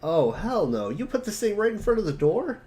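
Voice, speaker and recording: A man voicing an easygoing, slightly exasperated teenage boy in a casual, expressive amateur dramatic reading. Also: high voice, moderate pace, voice pushed up.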